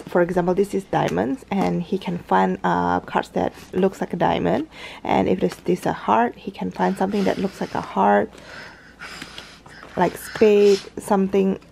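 Young mother with a gravelly voice. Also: medium pitch, darkish tone, a little hiss or hum. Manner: chatty, explains step by step with many "like" fillers. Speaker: parenting vlogger, mother. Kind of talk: casual how-to vlog narration. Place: living room at home, indoors. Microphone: handheld camera filming from above, speaker off screen.

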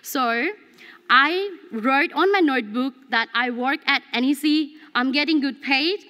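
A woman's voice: high-pitched, measured pace, pushed and bright. A young woman giving an earnest prepared graduation speech at a lectern mic, speaking English as her second language with small restarts. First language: not English. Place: large convention hall, at a lectern. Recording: long hall reverb.

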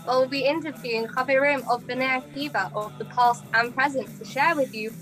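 Upbeat woman co-hosting, slightly high. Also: steady tone, normal speed, fairly bright tone, soft background music, rough recording. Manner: upbeat, enthusiastic. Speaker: podcast co-host. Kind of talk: podcast hosting.

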